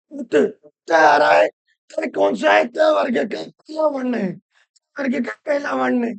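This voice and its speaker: A woman teaching Hindi grammar, hoarse from a cold, asking questions and answering them herself while repeating the rules loudly. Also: high-pitched, dry recording. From Rajasthan. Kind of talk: classroom-style lesson.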